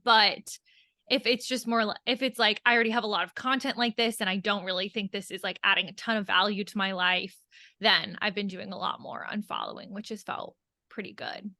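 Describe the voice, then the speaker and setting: A woman with a bright, crisp-edged voice chats on a podcast. Her delivery is conversational and candid, with plenty of "like" along the way. The sound is dry and close, with very little room. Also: medium pitch, steady tone, brisk, dry recording. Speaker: podcast co-host. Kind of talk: casual podcast chat.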